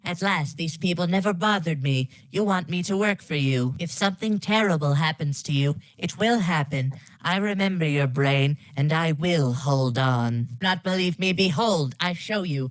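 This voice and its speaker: A woman voicing a stilted, deadpan supercomputer villain in a video game parody. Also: sits low, no room sound, poor audio. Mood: deadpan menace. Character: stilted female supercomputer villain speaking mangled, nonsensical threats. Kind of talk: scripted game parody lines.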